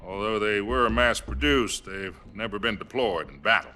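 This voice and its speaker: An actor playing an American president in a game cutscene, calm and placating. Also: male voice, fairly low pitch, moderate pace, over music, close to an everyday voice. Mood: explaining. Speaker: US president on a hotline call. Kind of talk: video game cutscene acting. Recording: game audio with faint score.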